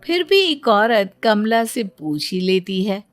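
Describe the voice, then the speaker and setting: Slow-paced woman storyteller over music. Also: mid-range voice, big pitch swings, no room sound. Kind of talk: scripted Hindi moral-story narration. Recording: music bed behind the voice. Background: background music.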